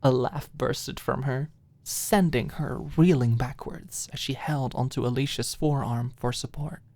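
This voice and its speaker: A woman's voice: rumbling low, measured pace, darkish tone, no echo. A woman narrating a scripted ASMR audiobook story, close to the microphone.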